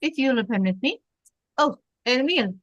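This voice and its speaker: A woman playing a suave, flattering French police captain in an amateur script read-through, with a French-accented voice and a courtly manner. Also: fairly high pitch, normal speed, no echo, highs cut off.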